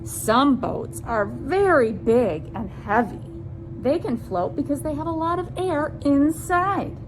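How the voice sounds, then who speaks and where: A woman presenting a children's science storytime, reading aloud deliberately and speaking to young listeners as friends with simple questions. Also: sits high, lively intonation, background noise.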